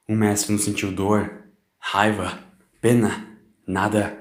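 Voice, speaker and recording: A man's voice: fairly low pitch, fairly bright tone. Theatrical male narrator with heavy echo. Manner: theatrical, solemn. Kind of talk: scripted dramatic story narration. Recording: echoing voice over music.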